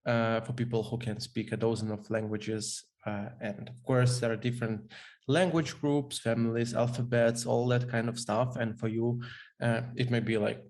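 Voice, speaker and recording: A man hosting a podcast, asking questions in a measured way and hunting for words with fillers. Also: mid-range voice, flat delivery, a little mellow. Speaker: podcast host. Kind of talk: interview questions.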